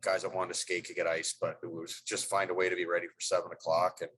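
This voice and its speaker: A man's voice: low-pitched, completely flat delivery, fast talker, fairly bright tone, poor audio. A middle-aged man, a hockey head coach, answering press questions in a loose, rambling way with many fillers and restarts.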